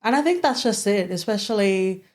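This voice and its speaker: A woman's voice: medium pitch, expressive, normal speed, a little mellow. A woman hosting a podcast, asking thoughtful questions in a chatty way with many fillers. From Australia. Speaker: podcast host. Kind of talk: casual interview questions.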